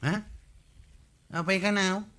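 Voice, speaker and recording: Bright, higher-pitched Ilocano village man. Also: fairly even intonation, measured pace. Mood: surprised. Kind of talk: Ilocano radio comedy drama acting.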